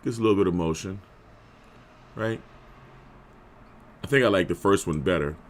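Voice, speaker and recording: A man hosting a live stream, talking casually and a little rambling, with a hoarse voice and frequent throat-clearing. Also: deep voice, normal speed.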